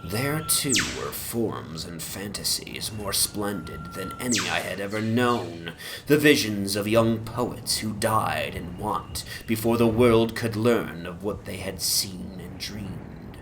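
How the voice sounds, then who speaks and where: A man narrating literary fantasy as a dramatic audiobook reading, in a measured, solemn and theatrical manner. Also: low-pitched, gravelly, a bit bright, a little hiss or hum. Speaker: narrator, reader. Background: atmospheric music.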